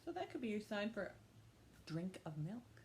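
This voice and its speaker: A woman's voice: mid-range voice, sing-song, normal speed, darkish tone, slight background noise. A woman hosting storytime for young children, talking to them in simple questions and short phrases and reading aloud expressively. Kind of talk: children's storytime, talk and picture-book read-aloud.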